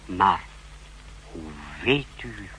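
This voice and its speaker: A secret police officer reporting to a superior in an old radio serial. The voice is very sharp and forceful, formal and deferential but ready to question. A faint hiss and hum lie under the 1960s recording. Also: male voice, sits low, expressive, very slow, exaggerated voice, low-quality recording. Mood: chastened. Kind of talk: 1960s Dutch radio drama acting.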